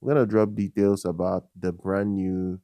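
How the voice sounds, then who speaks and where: Male tech reviewer, flat delivery, dry close sound, casual and halting, repeats himself. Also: sits low, dry recording. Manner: halting, repeats words and phrases. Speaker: tech review channel host. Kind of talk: casual product news commentary.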